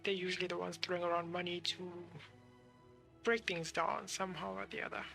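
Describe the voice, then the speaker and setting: A woman's voice: lowish voice, flat delivery, fairly quick, creaky, fairly bright tone. A woman streaming a game, giving casual off-the-cuff commentary and hesitantly thinking aloud. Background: game music.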